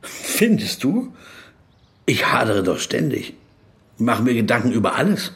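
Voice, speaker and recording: An actor playing a pensive, insecure German business traveller in a radio play, brooding and wordy, sometimes awkwardly polite. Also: male voice, mid-range voice, very animated, unhurried, fairly bright tone, slightly rough, dry recording, exaggerated voice. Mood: searching, unsure. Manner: brooding inner monologue, shifting to awkward small talk. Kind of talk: dramatised literary monologue, radio play.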